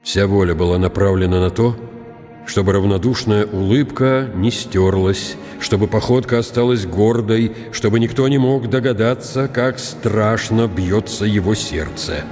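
A man's voice: on the low side, unhurried, darkish tone, faint music behind, lightly acted. An actor in a radio play voicing the inner thoughts of a proud condemned man who hides fear behind contempt. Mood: grimly proud. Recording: echoing hall reverb, clear hiss.

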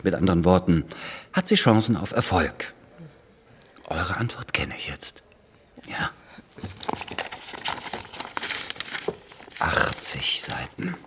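An actor playing an impatient, condescending business lawyer in a German radio drama, speaking with sarcastic, rhetorical emphasis. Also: male voice, deep voice, animated, a little slow, pauses often, whispered. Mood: smug, pointed.

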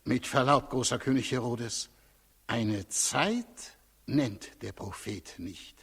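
An actor playing a cautious, evasive high priest in a 1960s radio play, deferential, solemn and ceremonious. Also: male voice, slow, gravelly, fairly bright tone, breathy edge, heavily acted. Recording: 1960s radio recording, reverberant hall.

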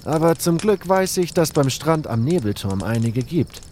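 A man's voice: a little high, sing-song, normal speed, a little mellow, slight background noise, pitched above the performer's own voice. A man voicing a confident, matter-of-fact hunter in a fantasy audio drama.

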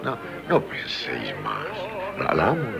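An actor with a Castilian Spanish accent playing a dissolute, passionate gentleman who stammers and repeats himself, in 1970s radio theatre style. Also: mid-range voice, wildly swooping pitch, gravelly, heavily acted. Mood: anguished pleading. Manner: halting, stammering, repeating words. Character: dissolute, guilt-ridden, passionate gentleman in love. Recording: 1970s radio drama with faint music.

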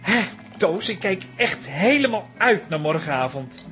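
A lowish, animated female confidante. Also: unhurried, soft background music. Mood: curious. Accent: Netherlands Dutch. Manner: direct, animated. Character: frank, teasing female confidante. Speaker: radio drama actress. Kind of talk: Dutch radio drama dialogue.

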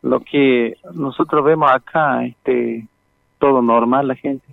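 A man from northern Argentina giving informal interview answers over a phone, calm and plain, in short phrases. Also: medium pitch, slow pace, vocal fry, dry recording. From Formosa, northern Argentina. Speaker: interviewee, community representative. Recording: tinny, narrow phone line.

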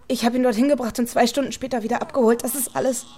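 A woman's voice: a little high, fairly even intonation, rapid-fire, mellow, close to an everyday voice. An actress playing a frightened, cornered young mother in a radio crime drama, defensive and pleading, with a tearful voice. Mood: resigned confession.

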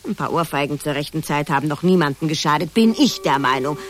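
A woman's voice: low voice, expressive, fast talker, faint music behind. An actress playing an indignant middle-aged housewife and mother in a satirical radio drama, huffy and complaining. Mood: annoyed, complaining.

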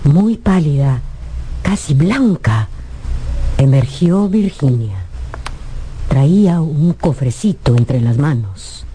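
A woman's voice: low-pitched, expressive, slow, long pauses, mellow, a little hiss or hum. A woman narrating a scripted radio drama with a dramatic storytelling delivery.

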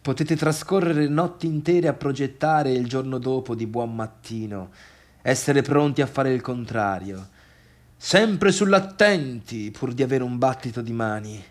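An actor playing a bitter, needy, boastful failed pianist in a halting theatrical radio monologue that swings between murmurs and outbursts. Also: male voice, medium pitch, natural voice. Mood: hesitant, wounded. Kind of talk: radio theatre monologue, addressing imagined listeners.

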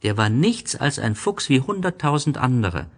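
A man's voice: medium pitch, sing-song, moderate pace, pitched above the performer's own voice. A man voicing an innocent, curious young boy prince in a storybook, speaking earnestly and with emphasis. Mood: firm, proud.

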